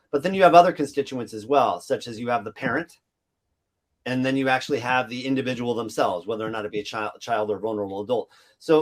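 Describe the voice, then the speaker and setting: A middle-aged man moderating a conference panel, talking loosely and circling back through restarts. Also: mid-range voice. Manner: loose, rambling with many restarts and fillers.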